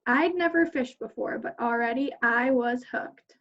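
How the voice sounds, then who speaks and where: A woman's voice: on the high side, a little slow, a bit bright, muffled recording. A woman teacher reading a picture book aloud to children in an instructional way.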